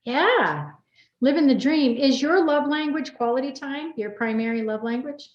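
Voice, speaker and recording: A woman's voice: high-pitched, expressive, normal speed. A woman hosting a casual group chat interview in an upbeat, chummy, affirming way. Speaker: host. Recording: echoey, dull video-call audio.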